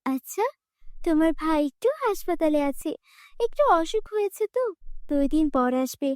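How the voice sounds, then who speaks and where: Woman as a sugary, high-voiced coaxing stepmother. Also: animated, normal speed, darkish tone, dry recording, clean studio sound. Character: sugary, two-faced adult stepmother woman.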